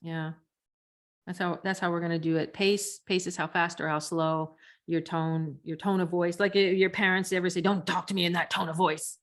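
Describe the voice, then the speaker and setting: Female podcast coach, slightly low voice, conversational teaching delivery with demonstrated examples. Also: steady tone, normal speed. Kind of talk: conversational teaching on speaking technique.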